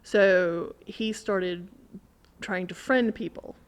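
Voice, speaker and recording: A woman's voice: mid-range voice, steady tone, slow, clear, resonant tone. A woman in a casual podcast conversation, telling an anecdote and acting out quoted remarks as she goes.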